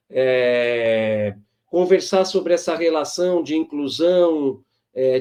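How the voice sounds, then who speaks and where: A man with a Brazilian accent moderating an informal online panel, explaining things in a measured way with thoughtful pauses. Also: fairly high pitch, darkish tone. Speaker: host and moderator.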